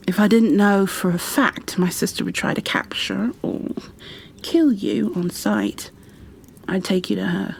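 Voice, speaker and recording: A woman playing a gentle, shy, bookish bookkeeper in an ASMR roleplay, soft-spoken and half-whispering, with a hesitant, apologetic way of talking. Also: medium pitch, vocal fry, a little hiss or hum. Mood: apologetic, fond. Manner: hesitant, apologetic, halting, careful. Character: gentle, shy, bookish female creature bookkeeper, peace-loving and kindly.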